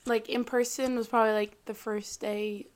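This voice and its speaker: A young woman from Mexico, a guest on a casual podcast, talking softly and hesitantly with many fillers and small laughs. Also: on the high side, little pitch movement, moderate pace. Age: 20s. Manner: soft-spoken, hesitant, giggly, many fillers. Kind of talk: casual chat.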